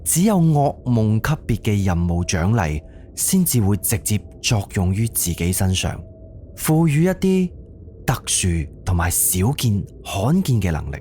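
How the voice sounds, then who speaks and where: Low-pitched Cantonese storyteller man, lively intonation. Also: unhurried, soft background music. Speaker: audio drama narrator. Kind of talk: dramatic storytelling narration of a horror mystery.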